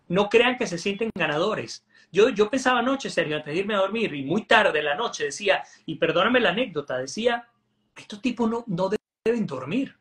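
A man in his forties speaks in a high-pitched voice as a political analyst. His talk is emphatic and insistent, with frequent restarts and repeated words, heard through a webcam on a video call from home. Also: fairly bright tone. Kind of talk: animated political commentary. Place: home room indoors.

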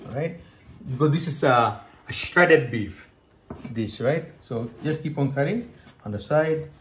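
A man's voice: mid-range voice, lively intonation, a little slow, a bit bright, slight background noise. Chatty man from Hong Kong cooking at home. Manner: chatty, explains step by step, many "okay" and "right" check-ins. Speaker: cooking show host. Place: home kitchen, indoors. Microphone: camera close by, handheld.

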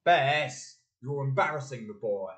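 A man's voice: mid-range voice, lively intonation, unhurried, close to an everyday voice. Guy acting older proud dad in a radio play, earnest, voice swings up and down a lot. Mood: urging. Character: proud, encouraging working-class father, earnest storyteller. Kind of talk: community audio play acting.

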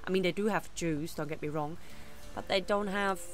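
A woman's voice: lowish voice, normal speed, a little hiss or hum. A young German-born woman with a British-tinged English accent, chatting casually with viewers while streaming a game from home. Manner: chatty, reads viewer comments aloud. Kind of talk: casual live-stream chat while gaming. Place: room at home, indoors. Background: game music and sound effects.

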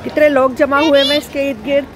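A woman's voice: high voice, flat delivery, fast talker. A woman vlogging outdoors as she walks through a busy city square, chatting to viewers like a tour guide while filming on a handheld phone. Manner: chatty tour-guide commentary, calls to her child. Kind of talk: casual vlog narration while walking. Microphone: handheld phone camera. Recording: busy crowd and music behind.

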